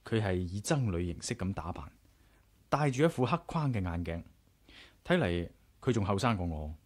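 An actor with a Hong Kong Cantonese accent playing a curious, self-assured adventurer in a radio drama, frank and measured. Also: male voice, deep voice, big pitch swings. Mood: intrigued, reflective. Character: curious, bold male adventurer narrating his own tale. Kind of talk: radio drama acting and narration.

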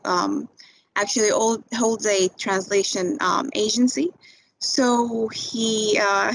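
A woman's voice: medium pitch, a little hiss or hum, rough recording. A young woman from Tunisia, a recent graduate, talking informally on a webinar with many fillers and restarts. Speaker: graduate speaking to prospective students. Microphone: video call.

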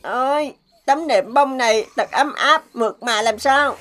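A woman voicing a young, innocent and timid boy in a cartoon, hesitant, pleading and expressive. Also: high-pitched, measured pace. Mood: curious, shivering. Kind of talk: cartoon dubbing. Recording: music behind the voice.

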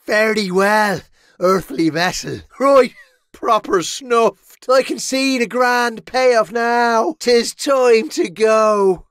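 A man's voice: extremely high pitch, takes it slowly, fairly bright tone, no room sound, clean studio sound, full character voice. A man with an Irish accent playing a brash, money-minded medieval mercenary in a video game, roguish and theatrical. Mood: resigned, dying. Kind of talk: game combat barks.

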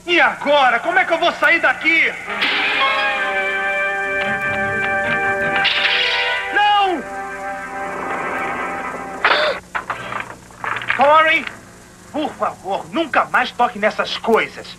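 A troubled teenage boy in a cartoon drama. He speaks earnestly and emotionally in a very high voice with lively swings, over music. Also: normal speed, rough recording. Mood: frightened. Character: troubled, anxious teenage older brother. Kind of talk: dubbed cartoon drama acting.

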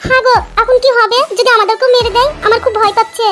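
Cartoon wife: extremely shrill, petulant, very fast-talking, comic dub on a noisy recording. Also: female voice, steady tone, fairly bright tone, faint music behind. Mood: frightened. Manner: whiny, pouting, petulant. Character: sulky childish cartoon wife begging for a sari. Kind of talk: exaggerated comic cartoon dubbing.

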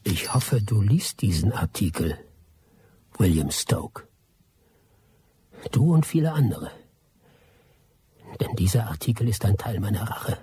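World-weary middle-aged trader in a radio drama, really raspy low voice, dry and sceptical. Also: male voice, expressive, unhurried, pauses often, warm tone, exaggerated voice. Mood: bitter, resolute. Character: world-weary middle-aged English expatriate typewriter dealer, gruff, sceptical. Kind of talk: radio play narration and dialogue.

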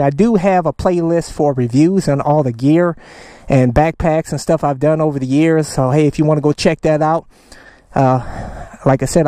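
An enthusiastic man talks straight into a helmet camera from a parking lot, hyping cheap riding gear in a loose, salesy way. His voice sits a little high for a man, and the sound is dry and close with no room around it. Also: dark tone, no room sound. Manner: enthusiastic, salesy, slangy with "man" and "baby", many fillers. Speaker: motovlogger reviewing gear. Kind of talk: casual moto vlog review. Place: outdoors in a parking lot beside his motorcycle.

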